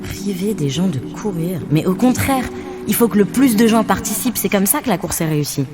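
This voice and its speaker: A woman's voice: mid-range voice, animated, a little fast, music in the background, close to an everyday voice. A woman playing a friendly, curious stargazer from another world in a fiction podcast, easygoing, naively questioning and reassuring. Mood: earnest. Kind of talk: fiction podcast acting, informal dialogue.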